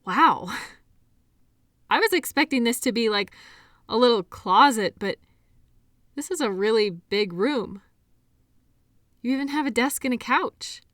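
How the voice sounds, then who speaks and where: A woman acts out a kindly, awkward female medical worker in an intimate audio drama. Her voice sits fairly high and she takes her time, rambling hesitantly and stumbling into apologies as she speaks to the listener. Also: animated, a little slow, pauses often, a bit bright, dry recording, clean studio sound. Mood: flustered, impressed. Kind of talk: audio roleplay acting, addressing the listener.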